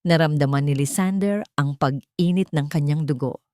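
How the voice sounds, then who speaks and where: A woman's voice: low-pitched, moderate pace, darkish tone, no echo, clean studio sound. A woman reading a scripted story as a dramatic audiobook narrator.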